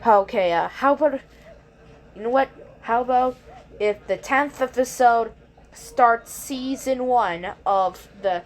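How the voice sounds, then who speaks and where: A woman's voice: fairly high pitch, slow. A woman hosting her own video, talking casually to viewers in a rambling, hesitant way and often correcting herself. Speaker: video creator and host. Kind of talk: casual intro and commentary to viewers.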